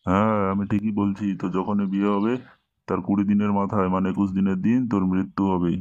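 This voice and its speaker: A dry, muffled cartoon recording of a man acting as a self-important village holy man. He scolds and preaches in a low voice, rattling along with little melody. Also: little pitch movement, fast, a little mellow, no room sound, lightly acted. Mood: stern, knowing. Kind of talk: comedy cartoon dialogue. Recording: dull lo-fi, very dry cartoon dub.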